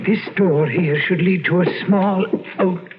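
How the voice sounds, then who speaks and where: An actor playing a polite, nervous manservant with a formal, obsequious and hesitant manner in an old-time radio drama. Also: male voice, high-pitched, fairly even intonation, unhurried. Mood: nervous, proper. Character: deferential, polite manservant, nervous but proper. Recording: muffled, noisy old radio recording.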